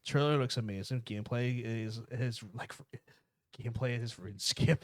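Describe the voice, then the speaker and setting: A man co-hosting a gaming podcast, reacting quickly and excitedly to trailers and swearing freely. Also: medium pitch, dry recording, studio quality. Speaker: podcast co-host. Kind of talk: casual chat reacting to game trailers.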